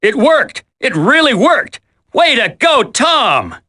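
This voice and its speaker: An actor playing a cocky, wisecracking, flippant commando in a dramatic video game. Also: male voice, very high-pitched, big pitch swings, takes it slowly, sharp tone, exaggerated voice. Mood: excited.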